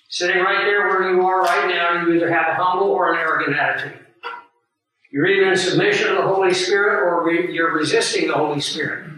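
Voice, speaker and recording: Hoarse preacher in a booming echoey room. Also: male voice, pushed and bright. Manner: earnest, emphatic, unhurried teaching with pauses. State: hoarse, scratchy from flu. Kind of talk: sermon teaching with live audience. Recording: very echoey room, voice far from mic.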